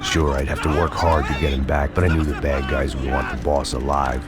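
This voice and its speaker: An actor playing a world-weary, cynical, hard-drinking bodyguard in a video game, with an American accent and a deadpan, sardonic delivery. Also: male voice, very deep voice, fairly quick, over a noisy crowd. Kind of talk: noir scripted narration and game cutscene dialogue. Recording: loud music over the voice.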